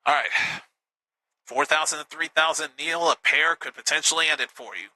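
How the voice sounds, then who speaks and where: A man hosting a live game show with an upbeat, teasing manner, a little hoarse. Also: fairly high pitch, normal speed, pushed and bright, poor audio. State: slightly hoarse.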